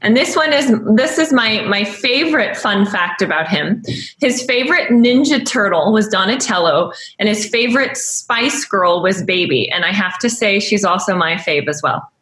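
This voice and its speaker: A woman's voice: mid-range voice, lively intonation, normal speed, fairly bright tone. A woman opens an online webinar and introduces the moderator in an upbeat, scripted way. Her voice sits in a medium range. The sound has a noticeable room echo. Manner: upbeat, reads from notes. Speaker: webinar host. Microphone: video call. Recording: echoey room.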